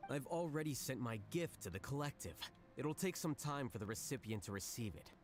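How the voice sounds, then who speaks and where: A cool, self-assured young scholar, played by a man in an anime game. He has a mid-pitched voice with lively movement, calm and unbothered, over clear game music. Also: fairly quick, close to an everyday voice. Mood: calm, confident. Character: calm, confident young male scholar schemer.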